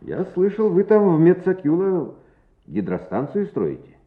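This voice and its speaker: An actor playing an important, grey-haired education official in a radio play, measured, patient and encouraging. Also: male voice, high-pitched, huge pitch swings, full character voice. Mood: attentive. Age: older. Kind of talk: Soviet radio play acting. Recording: tinny, echoey old radio play recording.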